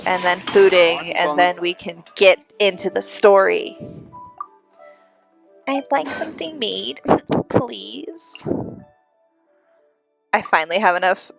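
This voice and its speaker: A woman's voice: mid-range voice, takes it slowly, gravelly, long pauses, poor audio. A congested-sounding woman giving giggly, chatty, self-deprecating commentary while livestreaming a game. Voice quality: congested, audible breathing. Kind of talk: casual livestream gaming commentary. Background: video game music.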